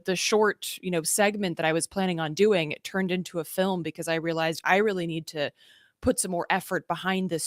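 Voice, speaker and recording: Low-voiced woman journalist, dry close mic. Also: no echo. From North Carolina and Sweden. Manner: thoughtful, many ums and restarts, wry asides. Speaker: journalist and filmmaker guest. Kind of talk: casual video-call interview. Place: home room indoors. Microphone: studio mic on a video call.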